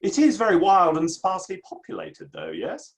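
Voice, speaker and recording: A man's voice: high voice, wildly swooping pitch, normal speed. A man in a play reading, acting a florid, theatrical Victorian playwright with a declamatory manner. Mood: effusive flattery. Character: flowery, theatrical, litigious Victorian playwright and gentleman.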